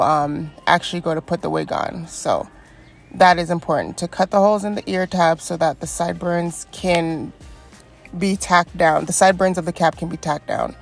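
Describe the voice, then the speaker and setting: Young female beauty vlogger, congested and fry-heavy, friendly how-to voice-over with soft music under it. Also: lowish voice. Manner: chatty and encouraging, frequent ums. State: congested from a cold. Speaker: wig stylist and beauty vlogger. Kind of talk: step-by-step tutorial voice-over.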